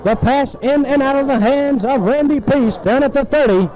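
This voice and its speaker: A man's voice: very high-pitched, moderate pace, warm tone, low-quality recording. A man calls live football play-by-play on a 1970s radio broadcast, in clipped phrases full of names and numbers.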